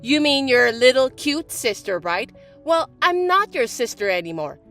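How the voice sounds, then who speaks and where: A woman voicing a bratty, spiteful young stepsister who talks in a shrill, mocking, bossy way. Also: animated, a little slow, a bit bright, soft background music, full character voice. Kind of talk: voiced text-message drama.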